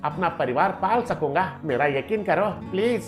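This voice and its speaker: A man's voice: high voice, expressive, normal speed, soft background music. A man voicing a greedy, boastful, scheming neighbour in a cartoon moral story, wheedling and gloating. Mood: pleading, wheedling.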